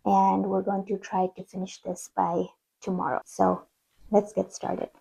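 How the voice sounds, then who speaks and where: Croaky-voiced woman sewing vlogger. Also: mid-range voice, completely flat delivery, a little slow, rough recording. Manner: chatty, self-deprecating. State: croaky voice after the flu. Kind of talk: casual vlog narration.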